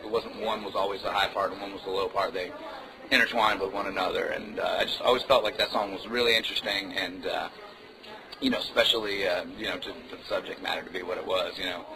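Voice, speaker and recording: A male musician chatting casually as an interview guest, relaxed and rambling, with lots of fillers. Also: deep voice, fast talker, creaky, background noise. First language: Indonesian. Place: music club.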